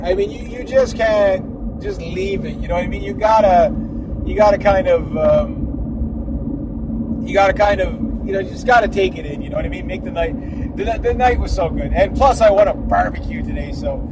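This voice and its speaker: High-voiced rambling guy, road noise. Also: animated, moderate pace. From Canada. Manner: rambling, many fillers and restarts, chuckling asides. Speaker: vlogger and musician. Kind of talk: casual rambling vlog. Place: outdoors by the road, riding at night. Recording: clear road and vehicle noise behind.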